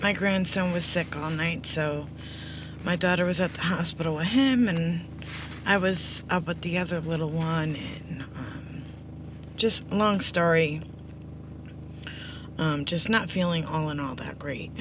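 A middle-aged woman with a hoarse, under-the-weather voice, giving a casual, rambling update to viewers in a low-key, apologetic way. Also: unhurried. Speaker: slots vlogger. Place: inside a parked car. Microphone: phone at arm's length. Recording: background noise inside a vehicle.

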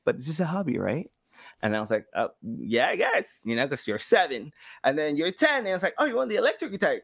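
A man in his thirties from South America, telling stories casually as an interview guest, acting out quotes and pausing to find words. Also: a little high, sing-song, creaky, a bit bright, breathy edge. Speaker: podcast guest, sound designer. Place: small home room, video call. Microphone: boom-arm microphone with headset.

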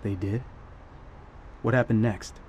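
An actor playing a world-wise, protective barkeep, blunt and slangy, in an old-time radio noir style. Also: male voice, fairly low pitch, fairly even intonation, slow, darkish tone, slight background noise. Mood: probing, concerned.

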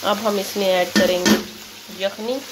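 A woman narrating a cooking recipe step by step, in a steady, instructive way, in a home kitchen. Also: mid-range voice, measured pace, very noisy. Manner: steady, instructive, repeats "ye dekhiye". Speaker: cooking channel host. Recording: loud kitchen sizzle behind.

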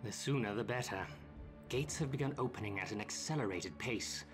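An actor playing a grave, portentous commander in a fantasy game. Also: male voice, fairly low pitch, fairly quick, natural voice. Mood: grave, urgent. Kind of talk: scripted fantasy game dialogue. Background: game music.

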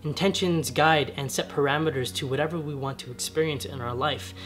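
An earnest young man with a raspy edge to his voice, pitched a little high for a man. He talks straight to a camera on the floor of his garage at home. Soft music plays underneath. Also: brisk. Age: young adult. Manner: earnest, gesturing with his hands while sitting on the floor. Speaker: vlogger. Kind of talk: casual spiritual vlog talk. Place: home garage, medium-sized, indoors.

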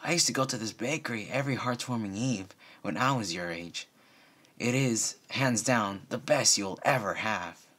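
A man's voice: lowish voice, moderate pace, fairly bright tone, husky. A man voicing a cheerful, confident young female unicorn pony in a casual, upbeat way, reading a story.

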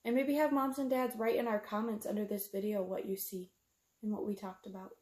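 A woman's voice: medium pitch, level tone, a little fast, a little mellow. A woman, reading a picture book aloud like a teacher, explaining simply to young children and asking them questions. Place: small room at home, indoors.

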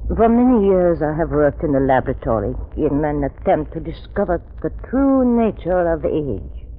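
An actor playing an elderly, obsessive scientist, agitated and halting, in theatrical 1930s radio drama style. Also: male voice, a little high, very animated. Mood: solemn. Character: elderly, anxious, obsessive male scientist doctor, trembling and fervent. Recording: dull, noisy old 1930s radio recording.

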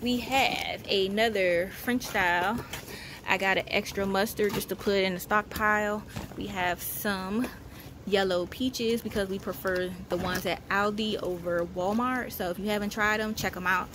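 A woman vlogger narrating a haul casually at home, in a matter-of-fact, halting way as she lists items. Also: mid-range voice, steady tone, background noise. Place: indoors at home, carpeted room.

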